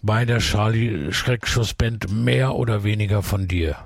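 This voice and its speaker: Male radio host, deep-ish gravelly voice, cheerful interviewing with some ums. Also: measured pace, no echo. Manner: upbeat, full of praise, hesitant fillers.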